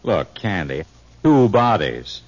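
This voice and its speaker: An actor playing a wry, laconic police lieutenant in a radio drama, talking in a dry, casual way. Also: male voice, deep voice, very animated, takes it slowly, music in the background, heavily acted. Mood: dry, curious. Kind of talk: 1950s radio drama acting. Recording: muffled vintage 1950s radio recording.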